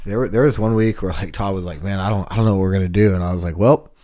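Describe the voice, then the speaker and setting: A man with a low voice talks in a lively, storytelling way. He acts out the lines of the people in his stories. The chat is casual and quick. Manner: animated storyteller, acts out quotes.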